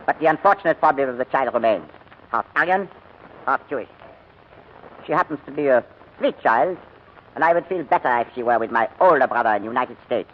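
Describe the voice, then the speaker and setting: A man acting a German army major in a 1940s radio play, interrogating with cool, formal menace. His clipped delivery swings widely in pitch. The old broadcast recording is dull, with some hiss. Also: animated, brisk, pauses often, close to an everyday voice. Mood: guarded, businesslike. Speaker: actor in a radio drama.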